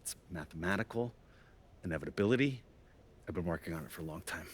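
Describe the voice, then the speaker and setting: An actor playing an eccentric, intense analytics whiz who speaks solemnly and grandly, drawing out phrases for effect in a game cutscene. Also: male voice, deep voice, expressive, slight background noise. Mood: mystic, grandiose. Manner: solemn, dramatic drawn-out phrasing. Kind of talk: comic video game cutscene acting.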